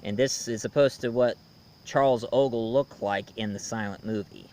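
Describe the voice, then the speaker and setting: A man giving a casual, unscripted movie review as an online host, rambling and halting as he goes. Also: medium pitch, a little mellow. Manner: rambling, halting, hesitant delivery.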